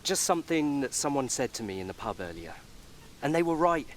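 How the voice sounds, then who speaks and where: An actor playing a sarcastic, resentful young adult son in a naturalistic radio soap. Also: male voice, medium pitch, sing-song, brisk, a little hiss or hum, lightly acted. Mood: wry, softening.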